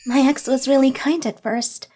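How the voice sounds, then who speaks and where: A woman playing a gentle, troubled young woman in an English manga dub, speaking softly, politely and sincerely. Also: sits high, fairly even intonation, moderate pace, soft background music, lightly acted.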